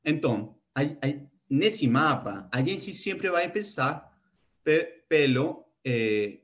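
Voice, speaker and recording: Halting Spanish-accented man presenting. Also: a little high, very animated, fairly bright tone. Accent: Spanish-speaking. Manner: halting, many restarts and fillers, mixes in English words. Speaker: conference speaker, project planner. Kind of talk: conference presentation.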